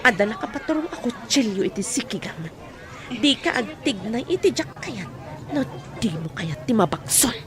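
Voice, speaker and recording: A woman's voice: fairly high pitch, animated, moderate pace, crowd noise, lightly acted. An actress playing a threatening, violent woman who barks commands at her captive in a radio drama. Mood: menacing. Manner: commanding, menacing.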